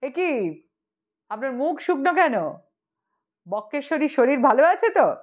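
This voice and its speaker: A cheerful young joker played by a woman narrator in a humorous audiobook. He is cheeky and gossipy, talking in an animated, teasing, conversational way. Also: high-pitched, a little slow. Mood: teasing concern. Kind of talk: audiobook character dialogue.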